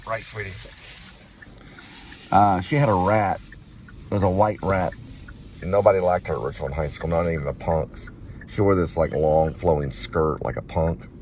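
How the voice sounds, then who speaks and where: Man, deep voice, halting storyteller, digressive vlog chat, clear road and car noise. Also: steady tone, a little mellow. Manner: halting, digressive, repeats himself. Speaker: vlog host. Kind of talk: rambling personal storytelling vlog. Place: inside a car while riding. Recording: noisy moving car interior.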